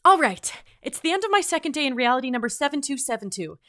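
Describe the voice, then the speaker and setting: A woman's voice: high voice, big pitch swings, fast, bright, forceful tone, no room sound, studio quality, exaggerated voice. A woman playing an eager, impatient otherworldly agent, wry and informal, in a fantasy audio drama. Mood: wry, tired.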